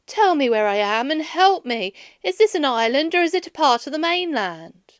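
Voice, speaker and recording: A woman's voice: high-pitched, big pitch swings, moderate pace, no room sound, clean studio sound, pitched above the performer's own voice. A woman voicing a wary, weary wandering Greek hero from a children's storybook, emphatic and pleading. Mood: eager, pleading.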